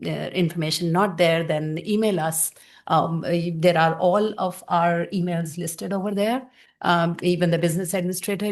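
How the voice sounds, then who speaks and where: A woman council member with fairly low pitch and a voice, making halting remarks at a public meeting. Her speech is full of pauses and restarts. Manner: halting, many fillers and restarts.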